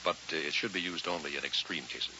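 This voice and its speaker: Uneasy minister, low-pitched, hesitant, brooding, old radio horror drama, music behind. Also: male voice, fast talker. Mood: earnest, guarded. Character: uneasy ordained minister narrating his own ghost story. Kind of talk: vintage radio horror drama, first-person narration and dialogue. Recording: dull vintage radio recording with music.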